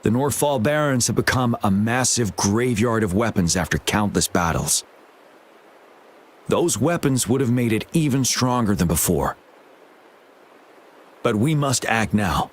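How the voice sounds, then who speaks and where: A man's voice: fairly low pitch, expressive, measured pace, pauses often, a little hiss or hum. A man playing a stern, resolute military general in a game, speaking in a grave, formal, commanding manner. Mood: grave, urgent. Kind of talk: scripted game dialogue. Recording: background music.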